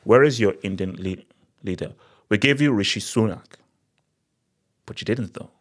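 Thirties Nigerian-accented male commentator; opinionated, sarcastic, animated; small-studio desk-mic sound. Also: fairly low pitch, moderate pace. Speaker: political commentary vlogger. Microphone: desk mic on boom arm.

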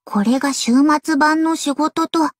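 A woman's voice: high voice, unhurried, no room sound, studio quality, soft background music. An actress voicing a grumpy, sleepy young ninja girl in a game voice line, talking bluntly. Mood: grumbling.

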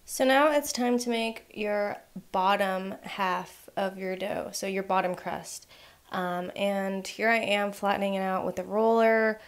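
A young woman hosting a home cooking channel, walking through a recipe in a relaxed way with lots of "um" and "like". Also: mid-range voice, measured pace. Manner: relaxed, filler-heavy instructions with frequent "um" and "like". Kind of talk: casual recipe voice-over.

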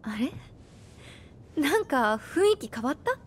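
A woman voice actor playing a gentle, earnest young fighter in a video game, expressive in delivery. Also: sits high, takes it slowly, a little hiss or hum, exaggerated voice. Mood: soft, tender. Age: young adult. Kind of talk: Japanese video game voice acting. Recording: music behind the voice.

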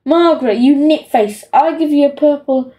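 A woman's voice: high-pitched, normal speed, mellow, recorded from a distance, close to an everyday voice. A woman voicing a greedy, bossy young boy in a storybook, gleefully insulting and emphatic. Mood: scornful. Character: bossy, greedy, scheming young boy dictating his will.

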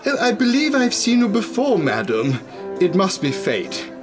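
A man's voice: sits high, huge pitch swings, a little slow, heavily acted. An actor playing a wry, charming policeman and bodyguard in a radio drama, a little mock-formal. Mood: playful. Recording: music behind.

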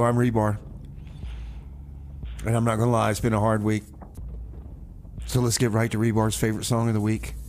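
A man's voice: fairly low pitch, darkish tone, slight background noise. A man hosting a music radio show in a folksy, rambling, hesitant way, often repeating himself and poking fun at himself. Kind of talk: rambling music radio presenting between songs.

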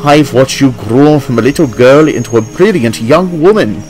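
A man's voice: mid-range voice, animated, normal speed, soft background music, natural voice, rough recording. Man doing a wise old steam engine. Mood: wistful, fond. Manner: gentle, wistful, fond.

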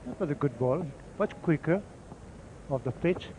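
A man calmly explaining each delivery as the expert summariser on a cricket broadcast. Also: on the high side, moderate pace, background noise. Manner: calm, explains deliveries technically. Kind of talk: analytical commentary.

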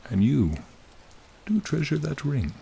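Guy doing a charming old dragon for asmr, slow n gravelly, soothing, courtly, bit teasing. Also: a little high, very animated, slight background noise, exaggerated voice. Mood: gracious, warm. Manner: formal, gently teasing, unhurried. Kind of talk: ASMR roleplay, slow intimate monologue. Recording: rain and cave ambience behind.

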